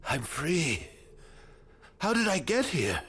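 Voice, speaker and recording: A man's voice: fairly high pitch, wildly swooping pitch, takes it slowly, a bit bright, a little hiss or hum, exaggerated voice. An actor playing an earnest, weary townsman standing guard at a tower in a fantasy adventure game. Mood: dazed, earnest. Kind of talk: dramatic video game acting.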